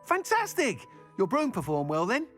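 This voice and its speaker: Excitable, high-pitched shopkeeper. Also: male voice, normal speed, faint music behind, exaggerated voice. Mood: delighted. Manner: effusive. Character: excitable, friendly male broom shopkeeper and inventor. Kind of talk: video game dialogue.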